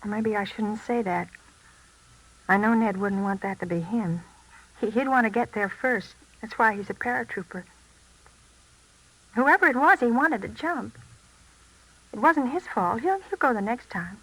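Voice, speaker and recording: Anxious wife, bright tense voice. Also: female voice, on the high side, poor audio. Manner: tense, halting, earnest. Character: anxious, loyal young wife of an American paratrooper. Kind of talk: 1940s radio acting.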